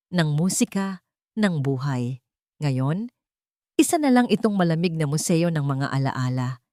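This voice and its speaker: A woman's voice: fairly low pitch, a little mellow, no echo, studio quality. A woman reading a dramatic scripted story as narrator.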